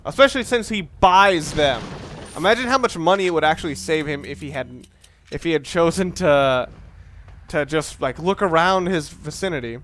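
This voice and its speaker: A man's voice: fairly high pitch, normal speed, a bit bright, slight background noise. A man giving casual, rambling commentary over a video game, wry and often stopping to restart his sentences. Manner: rambling, wry, self-interrupting. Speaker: let's play commentator.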